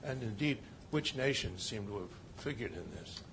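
Audience man, halting questioner, faint background noise. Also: lowish voice, animated, fairly bright tone. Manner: halting, with fillers. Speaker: audience member asking a question. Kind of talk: question at a panel discussion.

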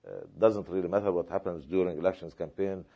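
A man from Palestine answering questions at a panel discussion, speaking in a measured, halting way with many fillers and repeated words. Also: low-pitched, dull audio.

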